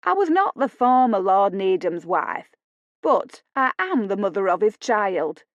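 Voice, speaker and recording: A woman's voice: mid-range voice, very animated, unhurried, no room sound, lo-fi sound. Woman acting a brazen, gushing Yorkshire lady in her fifties. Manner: effusive, familiar, needling.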